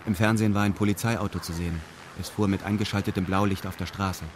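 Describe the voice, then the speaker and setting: A male narrator reads a scripted radio drama in a fairly low voice. His delivery is formal and matter-of-fact. Clear ambient noise runs behind him. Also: steady tone, fast.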